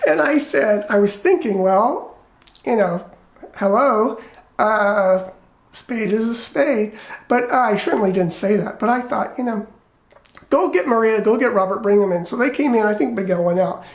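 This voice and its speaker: A middle-aged American man with a light, effeminate voice, telling personal stories casually in a rambling, animated way and acting out quoted dialogue. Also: sits high, moderate pace. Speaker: retired teacher. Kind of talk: casual personal storytelling and commentary. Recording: roomy, echoey indoor sound.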